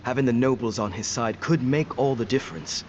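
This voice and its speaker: An actor playing a composed, diplomatic companion in a fantasy game, measured and placating. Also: male voice, mid-range voice, slight background noise, lightly acted. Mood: concerned, dry. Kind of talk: dramatic game cutscene acting. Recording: slightly dull sound, faint music behind.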